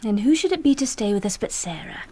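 An actress playing a sweet, thrifty, loving young sailor's wife in a radio drama, with a breathy, husky voice. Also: female voice, medium pitch, very animated, fast, dark tone, a little hiss or hum, close to an everyday voice. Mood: playful, coaxing.

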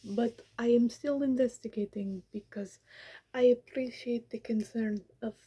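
A woman's voice: fairly high pitch, steady tone, unhurried, dark tone. A woman whispering as a vampire disguised as a detective, mock-serious and official. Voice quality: breathy whisper. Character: vampire posing as a world-famous detective. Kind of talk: whispered ASMR roleplay.

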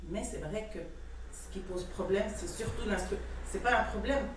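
A speaker in a public discussion, halting with many fillers, recorded by a far camera in a room. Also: mid-range voice, very echoey, slight background noise. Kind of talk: debate contribution.

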